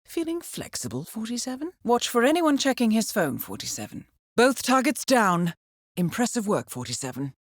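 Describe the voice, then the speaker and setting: An actress playing a cool, composed intelligence handler in a video game, briefing an assassin in a measured, dryly ironic way. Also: female voice, on the high side, very animated, no room sound, studio quality. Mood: dry, wry, then quietly approving. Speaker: mission handler.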